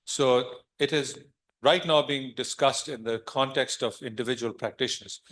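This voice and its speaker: A man's voice: medium pitch, normal speed, fairly bright tone. A man answering audience questions after a conference talk, thoughtful, with frequent restarts. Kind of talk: Q&A discussion.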